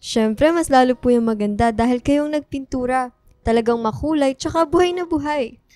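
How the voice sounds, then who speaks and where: A woman's voice: high voice, normal speed, mellow, no room sound, natural voice. A woman playing a sweet, playful young student daughter in a radio drama, affectionate, teasing and encouraging.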